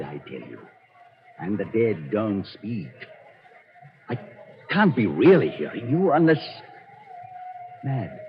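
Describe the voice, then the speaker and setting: An actor playing an arrogant, ruthless Nazi colonel with fear under his pomp, in a 1940s radio drama style on an old recording. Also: lowish voice. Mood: panicked, desperate. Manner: pompous, commanding, theatrical. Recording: dull 1940s radio recording, faint noise.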